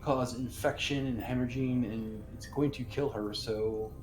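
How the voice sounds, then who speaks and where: A man's voice: mid-range voice, steady tone, moderate pace. A man speaking as a player in a live tabletop roleplaying game, in a room with audible echo. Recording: roomy echo on voice. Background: music.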